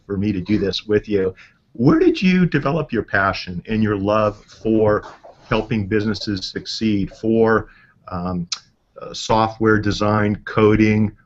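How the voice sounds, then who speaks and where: A man's voice: on the low side, dull audio. A middle-aged man hosting a conversational interview, chatty and telling stories to make a point. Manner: chatty, tells anecdotes. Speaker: interview host.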